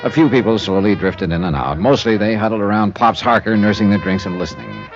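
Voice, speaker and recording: An actor playing a hard-boiled, wry insurance investigator in a 1950s radio detective drama. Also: male voice, lowish voice, sing-song, brisk. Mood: wry, narrating. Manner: wry, clipped, casual tough-guy talk. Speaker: detective narrator and lead. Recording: dull old radio recording, music behind. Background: jazz music.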